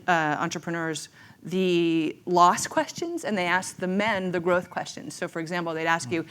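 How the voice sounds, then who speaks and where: A woman's voice: fairly low pitch, clean tone. A woman who founded a tech startup, speaking candidly and with self-assured humor as a panelist. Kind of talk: panel discussion.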